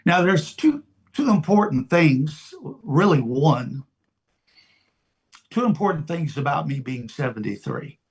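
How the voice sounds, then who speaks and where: Slow-paced preacher in his seventies, male. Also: fairly high pitch, very animated. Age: 70s. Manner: deliberate, emphatic, repeats phrases with long pauses. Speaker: pastor. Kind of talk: sermon, informal teaching.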